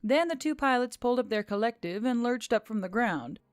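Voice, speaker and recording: A woman's voice: a little high, animated, a little fast, smooth, dry recording, studio quality, soft background music. A woman reading scripted fiction as an audiobook narrator.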